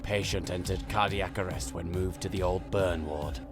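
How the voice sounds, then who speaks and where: An actor playing a detached, formal researcher dictating clinical patient notes in a horror game. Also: male voice, deep voice, fairly even intonation, normal speed, over music, close to an everyday voice. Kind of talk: scripted clinical log narration.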